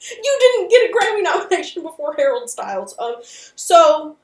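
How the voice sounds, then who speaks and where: A woman's voice: very high voice, big pitch swings, moderate pace, darkish tone, roomy sound. A woman hosting a casual video commentary, chatty, opinionated and playfully dramatic in manner. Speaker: host of a channel on internet horror.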